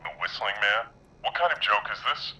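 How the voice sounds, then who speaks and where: An actor playing a blunt, gruff former war medic over the telephone in a horror game. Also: male voice, lowish voice, animated, normal speed, bright, forceful tone. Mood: taken aback. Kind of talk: dramatic horror game voice acting.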